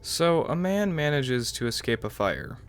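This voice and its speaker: A man's voice: a little high, big pitch swings, a little slow. A man giving offhand let's-play commentary as a gaming channel host, musing aloud as he roleplays.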